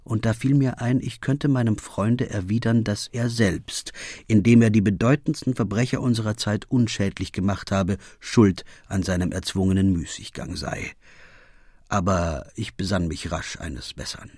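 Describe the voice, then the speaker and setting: An actor playing a detective's loyal, genially self-satisfied sidekick, narrating a German radio play in a measured, literary way. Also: male voice, fairly low pitch, a little mellow, no room sound, studio quality, close to an everyday voice. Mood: measured, wry.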